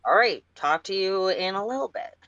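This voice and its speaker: A woman's voice: medium pitch, lively intonation, moderate pace, fairly bright tone. A woman co-reading on a livestream, chatting casually with playful slang over a voice call. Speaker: livestream co-reader. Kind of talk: casual chat. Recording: muffled Discord voice call.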